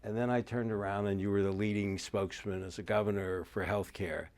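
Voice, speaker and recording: Low-voiced man moderating politely. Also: fairly even intonation, fairly quick, a little mellow. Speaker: moderator and interviewer. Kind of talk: formal interview question. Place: lecture room with audience.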